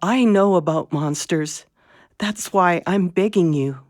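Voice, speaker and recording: A woman's voice: fairly low pitch, slow, darkish tone, slight background noise. A woman playing an earnest, protective elderly immigrant grandmother in an audio drama, in short solemn phrases. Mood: pleading.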